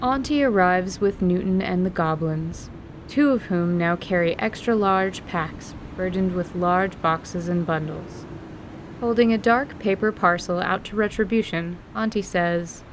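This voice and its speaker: A woman's voice: on the low side, measured pace, background noise. A woman reading scripted narration for a fantasy radio drama.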